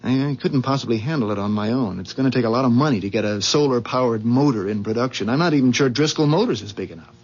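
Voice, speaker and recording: A man's voice: mid-range voice, fast talker, a little mellow, lightly acted. An actor playing an earnest, uneasy company employee in a 1970s radio drama, hesitant and stammering as he talks. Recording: dull vintage 1970s radio recording.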